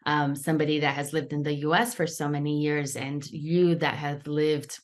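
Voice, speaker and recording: Woman from Brazil, low voice, chatty coach presenting, lots of "um" and "like", video call. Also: little pitch movement, moderate pace. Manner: chatty, frequent "um" and "like", playful asides. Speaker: guest speaker, coach and author. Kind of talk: webinar presentation.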